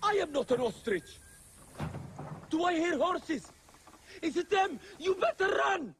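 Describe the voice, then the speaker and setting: Casting: cowardly, indignant hideaway for a game, extremely high pitch, nervous bluster. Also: male voice, expressive, a little slow, a bit bright, slight background noise, exaggerated voice. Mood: panicked. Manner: jittery, blustering. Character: panicky, cowardly, indignant villager hiding away. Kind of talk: video game cutscene acting.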